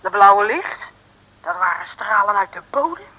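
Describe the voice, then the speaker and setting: A woman's voice: mid-range voice, very animated, moderate pace, breathy edge, dull audio. A woman playing a plucky, prim and polite detective's sidekick in an old radio play. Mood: excited. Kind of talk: 1950s Dutch radio play acting.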